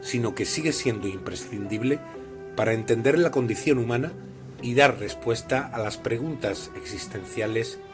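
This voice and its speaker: A man's voice: mid-range voice, normal speed, over music. A man hosting a scripted interview in a formal, courteous manner. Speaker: host and interviewer.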